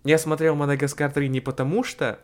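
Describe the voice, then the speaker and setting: A young man chatting casually as a podcast host, slangy and a little profane, often halting and repeating his phrases. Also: fairly high pitch, little pitch movement.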